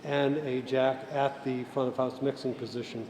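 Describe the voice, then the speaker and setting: A man giving an informal hands-on technical tutorial as an instructor, speaking deliberately and methodically with pauses mid-sentence. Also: mid-range voice, flat delivery, a little mellow. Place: indoor set room by a theater stage. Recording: roomy echo with faint background noise.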